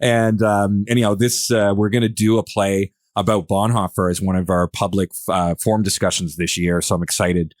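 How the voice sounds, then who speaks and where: A man's voice: deep voice, little pitch movement, normal speed, hardly any pauses, no room sound, clean studio sound. A man hosting a podcast in casual discussion, rambling and searching for words as he thinks aloud. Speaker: podcast host.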